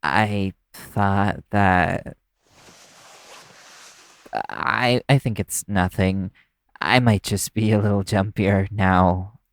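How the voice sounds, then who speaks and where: A man acting in an audio roleplay as a nervous, caring young vampire's human partner, speaking in a halting, stammering way. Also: lowish voice, fairly even intonation, clear, resonant tone. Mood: jumpy, apologetic.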